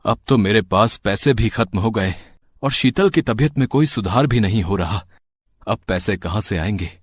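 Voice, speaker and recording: A man's voice: fairly low pitch, animated, moderate pace, husky, no echo. A man playing a poor, loving husband in a moral story, speaking earnestly and tenderly. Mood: worried. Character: loving, poor husband and worried auto driver. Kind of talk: acted dialogue in a Hindi moral story.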